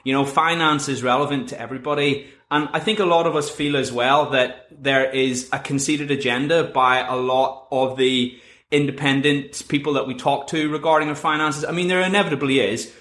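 A man's voice: medium pitch, fairly even intonation, fairly quick, fairly bright tone. A man hosting a podcast, chatty and upbeat in casual conversation. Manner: chatty, upbeat, British colloquial. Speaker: podcast host. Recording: echoey room.

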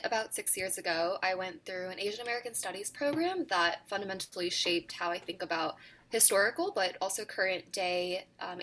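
A woman in her early twenties from the Bay Area speaks at a middle pitch with a bright, crisp tone. She co-hosts a podcast in an earnest, measured manner. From San Francisco Bay Area. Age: 20s. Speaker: podcast co-host. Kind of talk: podcast intro, partly read.

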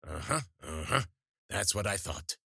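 A man voicing a crazy old witch woman in an audiobook, a gleeful, crude bully who taunts and cackles loudly. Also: low voice, lively intonation, a little slow, vocal fry, breathy edge, no room sound, studio quality, full character voice. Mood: brisk, coaxing.

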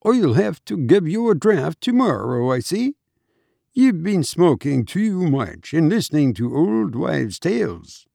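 A man's voice: on the high side, big pitch swings, a little slow, creaky, warm tone, no echo, clean studio sound, pitched above the performer's own voice, heavily acted. A man voicing a hearty, scoffing big Irish doctor with a blunt, teasing manner in a storybook reading. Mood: jovial, teasing.

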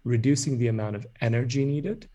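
A man's voice: mid-range voice, brisk, dark tone, highs cut off. A man from Ethiopia speaking as a startup founder and guest in a conversational podcast interview.

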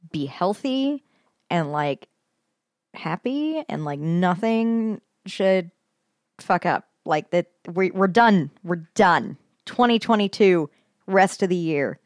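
A woman's voice: fairly low pitch, dry recording, clean studio sound. A woman co-hosting a casual podcast, still getting over a sinus infection, her voice going in and out, blunt and swearing casually. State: recovering from sinus infection, voice goes in and out. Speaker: podcast co-host. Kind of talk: casual chat.

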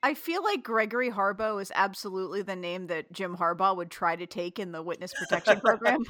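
A woman chatting as a podcast guest with deadpan, dry humor. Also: mid-range voice, brisk. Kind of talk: casual group podcast chat.

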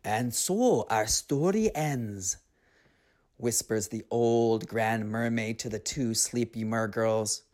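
A storyteller narrating and reflecting on a tale in an earnest, unhurried, reflective way. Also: medium pitch, darkish tone.

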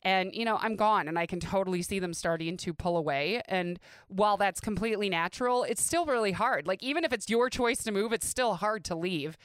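A woman's voice: medium pitch, a little fast, few pauses, no room sound. A middle-aged woman from the American Midwest with an American accent, hosting a podcast in a candid, casual, conversational way. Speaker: podcast host. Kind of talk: casual personal podcast monologue. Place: inside a home closet.

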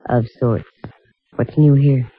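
A woman playing a sharp, self-assured private detective in a 1950s radio drama, with a crisp, wry, hard-boiled delivery. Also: rumbling low, steady tone, slow, a little hiss or hum. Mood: firm, probing. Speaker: lead actress and narrator. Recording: dull, lo-fi 1950 radio recording.

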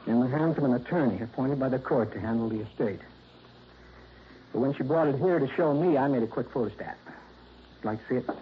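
An actor playing an earnest, troubled insurance claims man in a 1960s radio drama, hesitant and quick to protest. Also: medium pitch, slight background noise, natural voice. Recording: dull lo-fi vintage radio broadcast.

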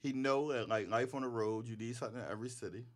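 A man's voice: medium pitch, fast talker. A young man co-hosting a casual chat, telling slangy, joking stories. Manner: animated, joking, slangy storytelling. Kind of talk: casual banter.

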